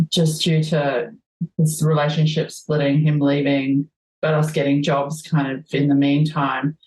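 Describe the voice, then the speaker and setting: A woman's voice: low-pitched, darkish tone, reverberant, low-quality recording. A woman with a broad Australian accent, chatting as a guest and telling rambling stories full of ums. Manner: rambling storyteller, laughs easily, many ums. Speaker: guest musician. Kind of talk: casual conversation.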